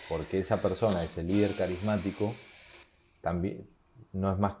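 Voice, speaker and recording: Low, dark-toned Argentine male co-host who hesitates and repeats himself often. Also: fairly even intonation, moderate pace, a little hiss or hum. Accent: Argentine Spanish. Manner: hesitant, many fillers and repeated words. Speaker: podcast co-host. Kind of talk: casual chat.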